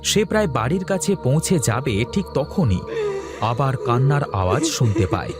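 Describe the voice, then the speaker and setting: A man narrates a scripted cartoon ghost story. His voice has a medium pitch, with music clearly audible behind it. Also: very animated, normal speed.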